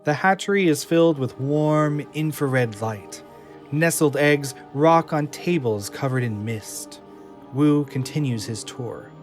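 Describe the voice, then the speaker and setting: A man's voice: a little high, animated, slow pace. A man reading scripted screenplay narration for an audio drama. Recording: music under the voice.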